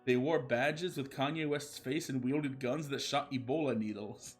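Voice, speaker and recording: A man reads fan fiction as a host, in a medium-pitched voice. His narration is comically dramatic and slips into casual asides. Faint music plays behind him. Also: normal speed.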